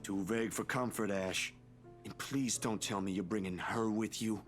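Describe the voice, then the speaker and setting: A man's voice: lowish voice, fairly even intonation, moderate pace, faint music behind, natural voice. A man playing a casual, skeptical young man in a visual novel, colloquial and easily exasperated. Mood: exasperated, questioning.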